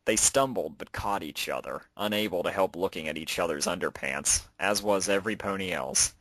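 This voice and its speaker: A man's voice: sits low, moderate pace, fairly bright tone, dry recording, rough recording. A man reading out a story as its narrator, in a scripted storytelling style. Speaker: narrator and fan fiction author.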